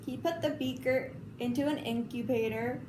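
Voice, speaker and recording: A woman reading a children's story aloud in an expressive, informal way. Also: sits high, moderate pace. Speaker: storybook reader. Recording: roomy, clearly noisy home recording.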